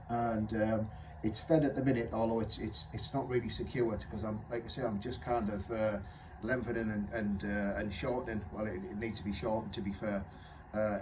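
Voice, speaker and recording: Low-voiced, flat-toned man in his fifties, noisy recording. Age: 50s. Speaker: amateur radio operator. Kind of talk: casual radio contact chat.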